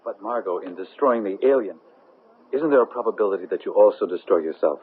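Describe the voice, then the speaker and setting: A man's voice: fairly low pitch, brisk, lightly acted. An actor playing a worried, protective man in a 1970s radio drama, speaking earnestly. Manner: earnest, concerned. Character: worried, protective man pleading with his beloved. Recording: dull, noisy vintage radio recording.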